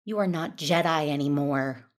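A woman's voice: deep voice, normal speed, natural voice. A woman playing a grim, defiant Jedi warrior in a science fantasy audio drama, speaking sternly and solemnly. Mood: accusing.

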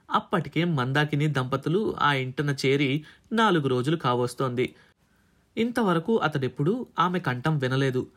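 A man reading a story as a scripted, expressive audiobook narration with a dramatizing delivery. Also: on the high side. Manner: dramatizes dialogue between characters. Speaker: audiobook narrator, radio jockey.

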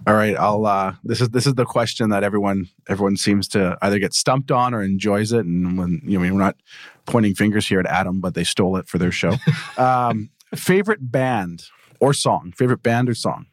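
Low-voiced joking podcast host. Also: male voice, brisk, no echo, studio quality. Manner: joking, stumbling restarts. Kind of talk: casual podcast chat and ad reads.

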